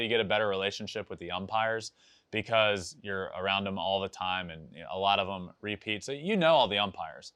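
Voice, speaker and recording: A man's voice: lowish voice, a bit bright, no room sound, studio quality. A young American man hosting a podcast, casually answering listeners' baseball questions in a conversational way, repeating phrases for emphasis. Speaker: podcast host and former professional baseball player. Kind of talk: casual sports talk answering listener voicemails.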